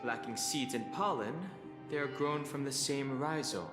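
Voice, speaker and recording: A calm young investigator voiced by a man speaks in a level, explanatory tone at a middle pitch. Long reverb trails his lines while game music plays underneath. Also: normal speed, lightly acted. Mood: steady. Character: calm young male investigator, steady and direct. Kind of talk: video game voice acting.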